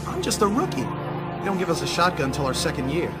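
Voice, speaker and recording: A woman's voice: sits low, sing-song, fairly quick. A woman playing an anxious but plucky young rookie cop in a video game. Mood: nervous, plucky. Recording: music behind the voice.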